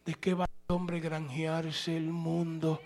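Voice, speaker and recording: A male pastor preaching a sermon, moving between plain conversational talk and loud, shouted passages. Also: fairly high pitch, monotone, slow.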